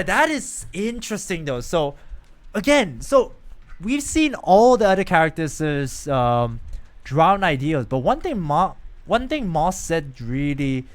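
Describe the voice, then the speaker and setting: A man live streaming a video game, reading dialogue and reacting in a rambling way, often repeating himself. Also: a little high.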